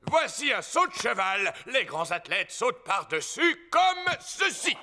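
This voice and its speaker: A man's voice: extremely high pitch, sing-song, measured pace, creaky, very noisy, heavily acted. A man playing a boastful, hearty old grandpa rabbit adventurer in a French children's cartoon, bombastic and theatrical. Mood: hearty, encouraging.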